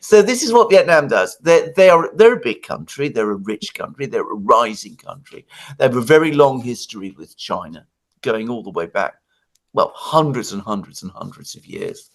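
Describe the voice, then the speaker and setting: Animated Greek-born man, halting restarts. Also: mid-range voice. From Greece. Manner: animated, halting restarts, laughs between points. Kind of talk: casual analytical discussion.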